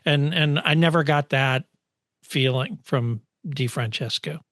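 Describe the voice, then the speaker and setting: A man co-hosting a motorsport podcast in casual chat, often halting as he searches for words. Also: mid-range voice, fairly bright tone, no room sound, clean studio sound. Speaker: podcast co-host discussing motorsport.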